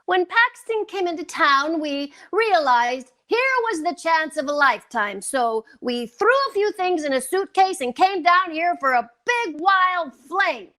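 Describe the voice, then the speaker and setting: An actress playing a scheming, teasing American housewife in a theatrical 1940s radio sitcom style. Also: female voice, extremely high pitch, animated, measured pace, hardly any pauses, pushed and bright, heavily acted. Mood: teasing, bold.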